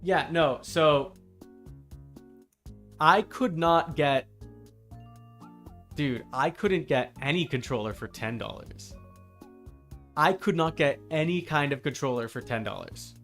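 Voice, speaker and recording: A man's voice: on the high side, slow pace, long pauses, fairly bright tone. A man live streaming a game, talking casually and bluntly with exasperated, sweary asides. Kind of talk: casual gaming stream chat. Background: game music.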